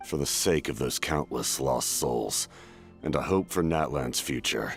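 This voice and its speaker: An actor voicing a grave, honourable ancient warrior captain in a fantasy game, speaking in a deep, solemn, measured way. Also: male voice, expressive, vocal fry, soft background music, exaggerated voice. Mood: grave, resolute. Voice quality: deep, weighty. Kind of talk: dramatic fantasy game acting.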